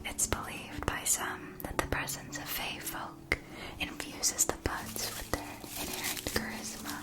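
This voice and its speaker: A woman playing a kindly potion-supply shopkeeper in a scripted fantasy ASMR roleplay, speaking in a soft, breathy whisper with deliberate, gently explanatory delivery. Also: lightly acted. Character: kindly female shopkeeper of magical witch-school potion supplies.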